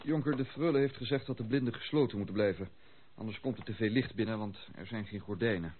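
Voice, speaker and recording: Radio drama casting: actor as respectful old-school manservant, lowish, correct and measured, phone-like recording. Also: male voice, slight background noise, lightly acted. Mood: dutiful. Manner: formal, deferential, measured. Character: deferential, dutiful manservant of a noble house. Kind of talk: Dutch radio play acting.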